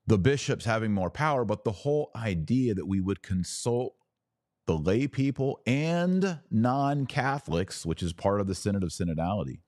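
A man with a deep voice hosts a religious commentary podcast. He explains things like a teacher, deliberately and with emphasis, repeating key phrases. The sound is dry, with very little room in it. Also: lively intonation, a little mellow, dry recording.

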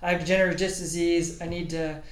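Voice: mid-range voice, little pitch movement, fast. A podcast host chatting in a rambling, emphatic, informal way, filling sentences with "like" and "you know". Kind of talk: casual conversational interview. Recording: roomy echo with clear background noise.

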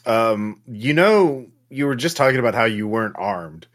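A man running a tabletop game as game master, narrating casually and off the cuff in a halting way with drawn-out pauses. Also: mid-range voice, expressive.

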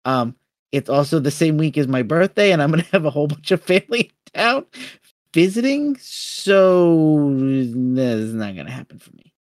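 A man co-hosting a podcast in casual chat, halting and repeating words as he starts his sentences. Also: on the high side, very animated, no echo, studio quality. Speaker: podcast co-host.

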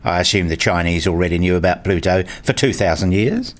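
A man playing an earnest, dryly sarcastic university researcher in a scripted radio play, with a deadpan, formal way of talking. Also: sits low, fairly quick. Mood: mock formal. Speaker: narrator and lead actor in a radio play. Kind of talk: first-person scripted radio play narration and dialogue.

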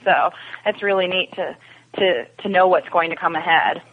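A woman's voice: fairly low pitch, moderate pace. A woman as a podcast guest, chatting casually about television over a phone connection. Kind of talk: casual chat about TV science fiction. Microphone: phone or call connection. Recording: tinny phone line with clear noise.

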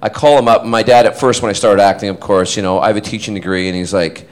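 A man's voice: fairly low pitch, fast talker. A man, an actor, telling rambling stories to a live audience at a fan panel. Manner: animated, rambling, self-interrupting. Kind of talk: casual storytelling to an audience.

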